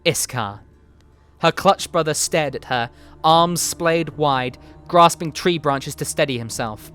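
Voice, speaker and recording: Male narrator for a dramatic fantasy reading, slightly high voice, deliberate delivery, music underneath. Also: fairly bright tone. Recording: music behind the voice. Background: background music.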